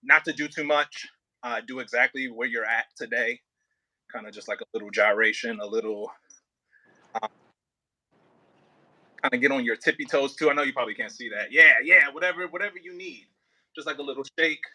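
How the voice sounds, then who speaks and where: A man leading a voice warm-up as a coach, explaining casually and haltingly with plenty of filler words. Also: mid-range voice. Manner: informal, halting explanations with many "like" and "kinda" hedges. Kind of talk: casual hands-on coaching over a video call.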